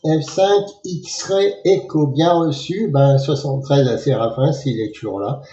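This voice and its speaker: A man with a mellow, fairly high voice speaks in a very roomy, echoing space. He is an amateur radio operator in a casual contact, measured as he spells out call signs. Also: dull audio. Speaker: amateur radio operator filming for his channel.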